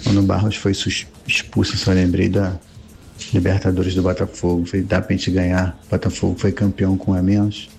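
A man's voice: deep voice, monotone, fairly quick, vocal fry, darkish tone, soft background music. A man sending a voice message to a sports show, casual fan banter. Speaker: caller.

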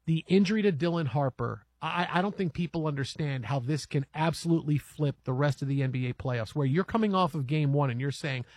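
A man on sports talk radio, talking through a playoff game with energy and plenty of "I mean" and "right?". His voice sits high for a man and has a husky edge. The studio sound is close and dry, with faint music underneath. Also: fairly quick, few pauses, no room sound. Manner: animated, rambling basketball analysis with fillers. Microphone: studio microphone.

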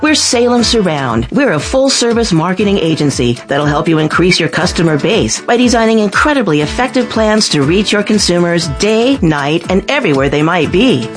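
An ad voice sells a marketing service, swinging its pitch widely. A clear music bed plays under the whole read. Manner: animated, salesy delivery. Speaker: radio ad voiceover. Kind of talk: scripted radio commercial.

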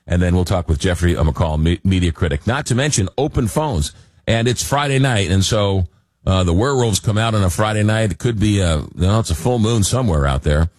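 A man's voice: low voice, lively intonation, brisk, a little mellow, no echo. A man hosting a late-night radio talk show, rambling with many fillers and restarts while getting over a cold. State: recovering from a cold.

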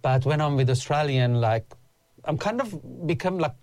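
A man's voice: on the high side, lively intonation, moderate pace, a little mellow, no room sound. A man in his thirties, originally from Afghanistan and raised in Iran, speaking earnestly as an interview guest, often restarting his sentences. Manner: earnest, with frequent restarts and "like" fillers. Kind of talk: casual interview chat.

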